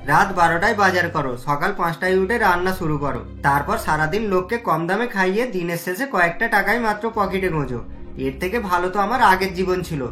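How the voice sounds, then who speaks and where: A woman's voice: on the low side, fairly quick, fairly bright tone, faint music behind, natural voice. A woman voicing a young man who runs a struggling roadside eatery in a cartoon, in a fretful, complaining manner. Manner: fretful, complaining, sometimes scared. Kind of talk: cartoon voice acting.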